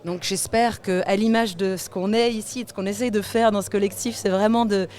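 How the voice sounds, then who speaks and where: A woman's voice: mid-range voice, steady tone, brisk, a little mellow. A woman talking earnestly as a panelist in a roundtable discussion. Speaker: collective member and panelist.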